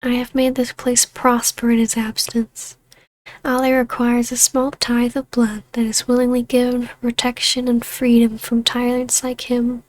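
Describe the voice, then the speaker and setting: A woman voicing a taunting, imperious vampire baroness in a scripted ASMR roleplay, speaking in a measured, hushed voice that slips into whispers. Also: on the high side, monotone, no echo, natural voice, rough recording. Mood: indignant, proud. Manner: slow, hushed, breathy delivery slipping into whispers. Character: vampire baroness, ancient huntress turned ruler, taunting, imperious, regal.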